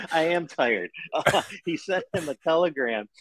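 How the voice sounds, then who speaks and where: A man's voice: on the high side, animated, takes it slowly, fairly bright tone. A man in his fifties with an American accent, telling stories conversationally as a guest author in an interview. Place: living room at home, indoors. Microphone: video call.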